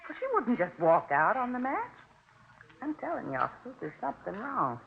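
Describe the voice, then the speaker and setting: A woman's voice: on the high side, sing-song, normal speed, creaky, slight background noise. An actress playing a chatty, insistent older American neighbour woman in a 1950s radio drama, folksy and fretful, on a tinny old recording. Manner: talkative, folksy, emphatic.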